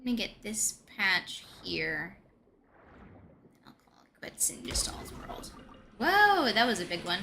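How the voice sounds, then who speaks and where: Animated, bright-voiced woman streamer. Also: medium pitch, takes it slowly, long pauses, a little hiss or hum. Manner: chatty, thinking aloud. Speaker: live streamer. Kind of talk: casual game livestream chat and commentary.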